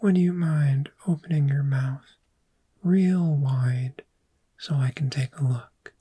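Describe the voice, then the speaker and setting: Casting: woman to play a calm dragon therapist for ASMR roleplay; mellow, whispery, extremely slow and measured delivery, reassuring. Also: low voice, heavily acted. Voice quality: breathy, whispery. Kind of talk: soft-spoken ASMR roleplay.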